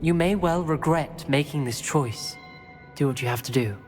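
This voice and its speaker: A man's voice: on the high side, normal speed, a little mellow, lightly acted. Man playing grave, anxious British schoolboy, music behind. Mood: reluctant. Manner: formal, grave wording. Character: anxious, principled teenage schoolboy wizard, wary of dark magic. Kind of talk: video game cutscene acting.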